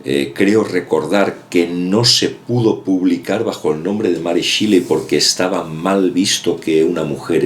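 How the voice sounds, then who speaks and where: A man's voice: low voice, fairly bright tone, slight background noise. A man giving a casual, rambling book commentary, hedging often and repeating himself. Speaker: book vlogger.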